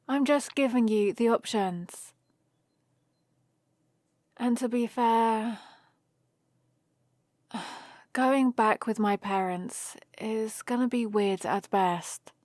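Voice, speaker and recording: A woman's voice: a little high, no echo, clean studio sound. A woman playing a playful, teasing young heroine adventurer who chats and rambles fondly in a one-sided ASMR roleplay. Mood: wry, wistful. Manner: chatty, rambling, gently teasing.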